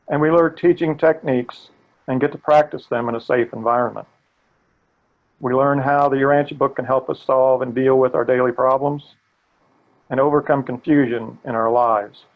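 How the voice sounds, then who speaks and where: An older man with a gravelly voice walks listeners through a training webinar. He speaks in an earnest, steady way and sometimes restarts a phrase. The audio is dull and lo-fi, like a video call. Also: medium pitch. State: gravelly voice today. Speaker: webinar presenter. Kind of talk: presenting a live training webinar.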